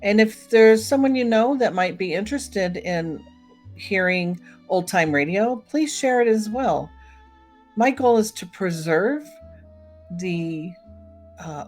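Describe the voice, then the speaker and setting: A woman hosting a solo podcast in a casual, chatty way, talking directly to her listeners. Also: on the low side. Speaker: podcast host. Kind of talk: casual solo podcast commentary on old time radio.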